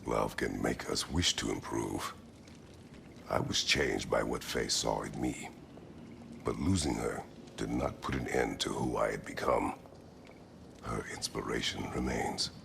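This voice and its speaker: An actor playing a stoic, battle-worn warrior god in a video game, terse and solemn with a gravelly voice. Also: male voice, extremely low pitch, unhurried, long pauses, a bit bright, a little hiss or hum, full character voice. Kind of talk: dramatic video game dialogue. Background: music.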